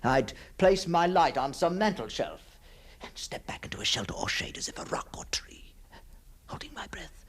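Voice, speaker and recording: A man's voice: on the high side, very animated, moderate pace, whispered. An actor playing an obsessed, brooding man who stalks apparitions, telling his ghost story in a theatrical, intense monologue. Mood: secretive, tense. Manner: theatrical, hushed passages swelling into fervent declamation. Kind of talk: dramatic first-person ghost story monologue.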